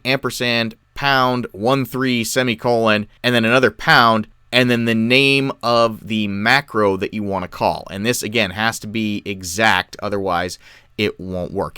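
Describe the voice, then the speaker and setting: A man explains software step by step in a tutorial style. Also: on the low side, smooth, no room sound. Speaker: tutorial presenter. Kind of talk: software tutorial, explanatory screen-share narration.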